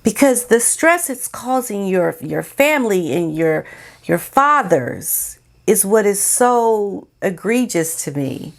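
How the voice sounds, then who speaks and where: A woman's voice: mid-range voice, very animated, slow pace, gravelly. An American woman giving informal opinion commentary as a vlogger, speaking in a sassy, emphatic, colloquial way.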